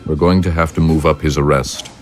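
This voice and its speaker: A man playing a commanding, calculating ruler in an audio drama, speaking with deliberate authority. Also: extremely low pitch, steady tone, darkish tone, faint music behind. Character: commanding, calculating male ruler of a militarist state.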